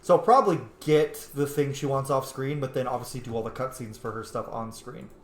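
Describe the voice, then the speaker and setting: A man's voice: medium pitch, a little fast, roomy sound, slight background noise. A man hosting a gaming channel, giving casual, chatty and opinionated let's-play commentary. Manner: opinionated, chatty fan enthusiasm.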